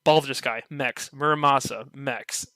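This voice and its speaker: A man's voice: medium pitch, steady tone, measured pace, sharp tone, dry recording, studio quality. A man chatting casually on a podcast, loose and full of "like". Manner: loose, filler-heavy, says "like" a lot.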